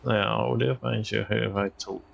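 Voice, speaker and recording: A man's voice: on the low side, fairly even intonation, normal speed, vocal fry, highs cut off. A man streaming a game, casually reacting and muttering along in a loose, mumbled way. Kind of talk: casual reactions and reading along while playing.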